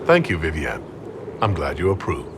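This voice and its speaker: An actor voicing a sober, questioning heroic commander in a fantasy game, measured and plain-spoken. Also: male voice, extremely low pitch, huge pitch swings, exaggerated voice. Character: sober, questioning heroic male leader of an army. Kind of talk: dramatic video game acting. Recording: faint background ambience noise.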